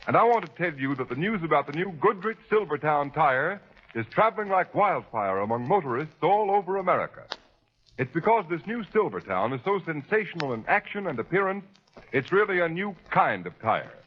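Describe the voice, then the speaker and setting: A man's voice: sits high, slightly rough. A man working as a 1930s radio announcer, reading a script in an emphatic, formal, salesman-like way. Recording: muffled 1930s radio, faint music behind.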